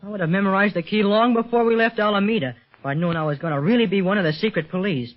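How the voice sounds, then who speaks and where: A man's voice: high-pitched, fast, natural voice. An eager boy adventurer acted by a male performer from a 1930s radio serial. He is plucky and earnest, with breathless exclamations and a raised young voice. The old recording is muffled and narrow. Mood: curious, eager. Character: eager, plucky boy junior secret police agent.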